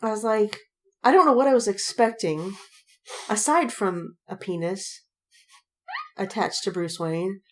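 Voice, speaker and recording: A woman podcast co-host chatting casually and telling animated anecdotes, giggly and acting out reactions as she retells them. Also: medium pitch, unhurried, long pauses, slight background noise.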